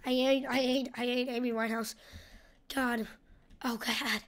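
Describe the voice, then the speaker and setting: High, scratchy-voiced young female gamer. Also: little pitch movement, a little slow. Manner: rambling, slangy. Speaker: gaming video host. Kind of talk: casual gameplay commentary.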